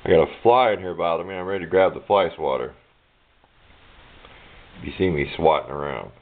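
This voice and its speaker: A man's voice: low-pitched, fairly quick. A plainspoken, rambling man giving an informal hands-on walkthrough of a DIY project, recorded indoors on a handheld camera mic. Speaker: DIY electronics hobbyist and presenter. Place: indoors at a home workbench. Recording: muffled, narrow phone-like camera audio.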